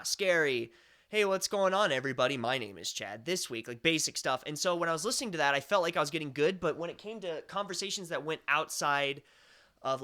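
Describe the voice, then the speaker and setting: Chatty young American stream host at home, bright, slightly higher voice, rambling delivery. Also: male voice. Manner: rambling, chatty, with many fillers and restarts. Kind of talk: casual chat.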